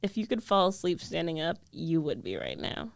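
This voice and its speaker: A woman playing a jealous, lovestruck young female roommate in an intimate ASMR roleplay, guarded yet tender, speaking with hesitant, emotional pauses. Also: low-pitched, big pitch swings, gravelly, no room sound, clean studio sound, heavily acted. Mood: tired, soothing.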